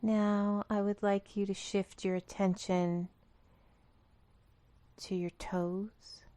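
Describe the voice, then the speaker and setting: A woman leading a guided meditation in a calm, gentle, instructional manner. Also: mid-range voice, takes it slowly, no echo. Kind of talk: guided body scan meditation.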